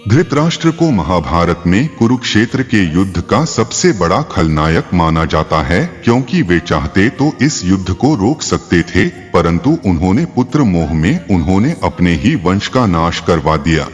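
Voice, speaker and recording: A man narrating a scripted religious tale as a storyteller, in a measured, explanatory way. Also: deep voice, very animated. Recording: long reverb, faint music behind. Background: faint background music.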